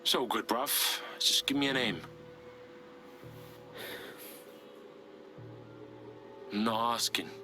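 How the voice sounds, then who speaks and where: A man's voice: medium pitch, moderate pace, music in the background, lightly acted. An actor playing a cocky, blunt, streetwise young man in a video game, slangy and brash. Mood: cocky, pressing.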